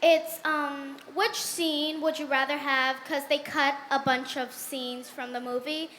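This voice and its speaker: A woman's voice: high voice, steady tone, measured pace, fairly bright tone, slight background noise. A woman from the audience asking a question, nervous and stumbling over her words.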